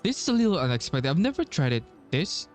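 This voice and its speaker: A man doing casual playthrough commentary as a streamer, thinking aloud haltingly and repeating himself. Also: fairly high pitch, big pitch swings. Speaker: game streamer. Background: game music.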